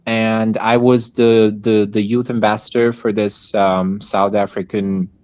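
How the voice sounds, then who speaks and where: Teenage Pakistani man, interview guest, lowish pitch, dry sound, conversational. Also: level tone, unhurried, a little mellow, no echo. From Hyderabad, Pakistan. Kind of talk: casual interview answers.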